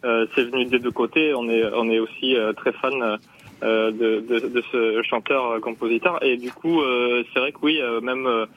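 A man, an electronic musician guest on a radio show, talking haltingly with many fillers. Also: medium pitch, fairly even intonation, lo-fi sound, slight background noise. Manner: halting, full of fillers and restarts. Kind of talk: radio interview conversation.

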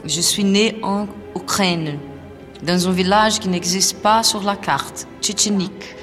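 Woman reading answers live on stage, calm and plain, big hall echo. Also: mid-range voice, slow pace, soft background music. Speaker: reader performing an interview. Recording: long reverb from a live hall.

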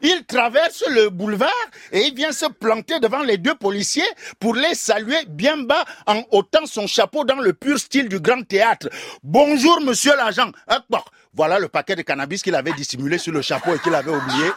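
An older man spins a comic tale for a radio host. His voice sits high and leaps all over its range with theatrical flair. The sound is close and dry. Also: moderate pace, few pauses, no room sound. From Cameroon. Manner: theatrical, animated, addresses the host directly. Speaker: guest storyteller. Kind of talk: comic oral storytelling.

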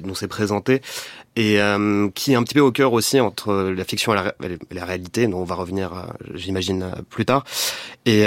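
A film critic on a radio panel whose voice sits on the lower side. He talks reflectively and hesitates often, filling pauses with "euh". The studio sound around him is dry and close. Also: no room sound. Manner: hesitant, many fillers, reflective. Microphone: studio microphone.

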